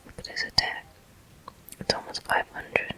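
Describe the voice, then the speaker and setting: A person whispering ASMR game commentary in a deliberate manner, pausing between short phrases. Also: bright, forceful tone. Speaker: gameplay host.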